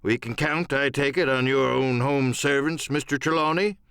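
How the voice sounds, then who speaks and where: A man's voice: medium pitch, moderate pace, vocal fry, fairly bright tone, clean tone, no room sound, studio quality. Man acting a stern, commanding sea captain. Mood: grave, resolute. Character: stern, plain-spoken middle-aged male ship's captain. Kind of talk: audiobook radio-play character acting.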